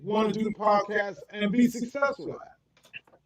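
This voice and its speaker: A man hosting a casual interview, animated and full of affirmations and fillers. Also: sits high, normal speed, low-quality recording. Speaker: host, radio personality.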